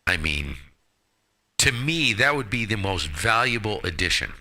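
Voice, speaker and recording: A man's voice: fairly low pitch, sing-song, measured pace, sharp tone. A man chatting casually about his radio hobby in a blunt, opinionated way, with mild folksy exclamations, speaking into a headset mic. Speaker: ham radio operator hosting a live stream.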